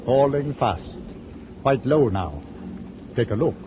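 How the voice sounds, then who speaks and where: A lively, swooping delivery on a muffled old radio recording: a stolid old ship's captain. He is clipped, musing and literal-minded. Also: mid-range voice, slow pace, noisy background. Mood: matter-of-fact. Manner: clipped, musing, matter-of-fact. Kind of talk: old-time radio drama acting.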